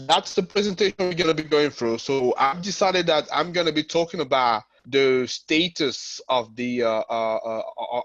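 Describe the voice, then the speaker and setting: A man's voice: mid-range voice, no echo. A man giving an informal webinar presentation as a company founder, repeating words and checking in as he goes. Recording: dull, lo-fi online call audio.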